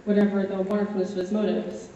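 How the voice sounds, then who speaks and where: A woman performing a dramatic monologue as a wry, wistful fourteen-year-old boy, confiding in a conversational way with dramatic pauses. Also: medium pitch, little pitch movement, gravelly, dark tone, close to an everyday voice. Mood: subdued, sad. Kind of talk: solo dramatic monologue, direct address. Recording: echoey hall, distant, muffled, faint noise.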